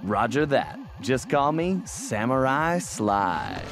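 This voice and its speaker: A man voicing a cocky, easygoing young raccoon thief, telling his story wryly in an animated video game. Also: medium pitch, slow, full character voice. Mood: teasing. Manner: wry, smooth storytelling. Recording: clear music bed behind.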